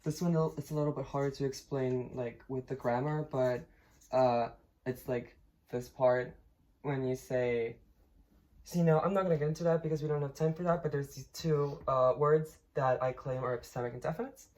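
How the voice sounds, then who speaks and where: A woman's voice: extremely low pitch, steady tone, moderate pace, a little mellow. A young woman from Poland, casually explaining her thesis with many fillers, restarts and laughs. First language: Polish. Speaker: linguistics student.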